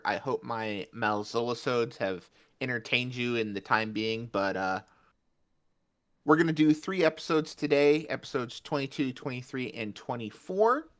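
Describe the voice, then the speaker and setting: A man co-hosting a podcast, running through an episode summary in a loose, jokey tone. His pitch sits in a middle range for a man. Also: a bit bright. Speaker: podcast co-host. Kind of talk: casual podcast recap.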